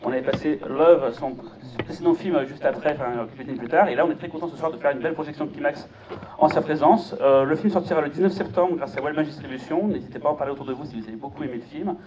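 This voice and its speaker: A man presents a screening informally to a live audience. He talks extremely fast in a slightly high voice. The recording is dull, with the crowd clearly audible. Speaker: festival presenter. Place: cinema auditorium in front of an audience. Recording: muffled sound, clear audience noise.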